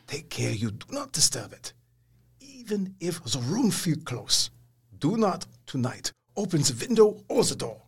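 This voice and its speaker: An elderly professor in a radio play. His husky, higher-set voice swings theatrically as he speaks in stilted, emphatic phrases, fierce yet kindly underneath. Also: big pitch swings, a little slow, exaggerated voice. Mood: firm, confident. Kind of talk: dramatic audio drama acting.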